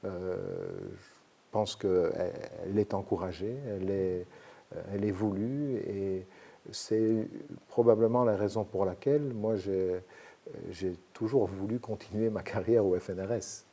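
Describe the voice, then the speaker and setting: A man from Belgium, a sociologist explaining his research in measured, hesitant sentences. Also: fairly low pitch, fairly even intonation, mellow. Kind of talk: academic interview answers.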